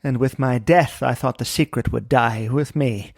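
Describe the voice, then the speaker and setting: A man's voice: mid-range voice, moderate pace, creaky, dry recording, studio quality. An actor playing a haunted, remorseful man confessing a secret in an old-time radio melodrama. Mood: dying, remorseful. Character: wounded, remorseful male scientist confessing a dangerous secret.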